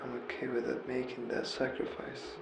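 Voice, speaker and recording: A man giving a heartfelt, confessional voicemail monologue, earnest and reflective, halting as he repeats words and pauses mid-sentence. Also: mid-range voice, monotone, gravelly, background noise. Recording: roomy echo, music underneath. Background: music.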